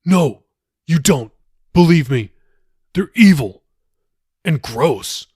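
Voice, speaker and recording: A man's voice: a little high, lively intonation, slow pace, a little mellow, slightly rough, dry recording, studio quality, voice pushed up, full character voice. A man voicing a sullen, troubled young man who talks in a curt, guarded, defensive way in an audiobook. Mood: irritated.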